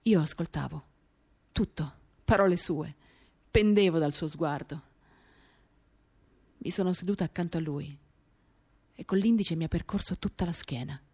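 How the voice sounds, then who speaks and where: A woman performing an expressive, theatrical first-person literary reading for radio, moving between animated and hushed delivery. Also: low-pitched, moderate pace, pauses often, slightly rough. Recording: acoustic guitar accompaniment. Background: guitar music.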